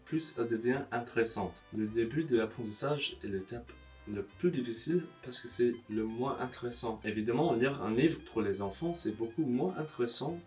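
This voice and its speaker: A young man with a foreign accent talks straight to camera, blunt and wry, with casual swearing. He sits a little back from the mic. Soft guitar music plays underneath. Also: medium pitch, distant mic. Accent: foreign, non-native French. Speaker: language-learning vlogger. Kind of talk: casual advice monologue to camera.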